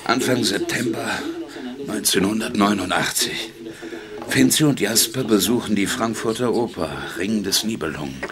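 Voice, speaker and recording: An actor playing a blunt, sardonic, hard-drinking police investigator in a German radio crime drama. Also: male voice, lowish voice, normal speed, a crowd in the background, natural voice. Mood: dry, mocking. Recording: dramatic music behind the voice.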